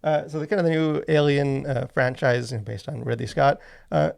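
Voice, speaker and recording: A man's voice: medium pitch, fairly even intonation, brisk, creaky, a little mellow. A man hosting a podcast in a casual, rambling, enthusiastic way, leaning heavily on "like" as he talks. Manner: rambling, enthusiastic, heavy use of "like". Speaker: podcast host and movie reviewer.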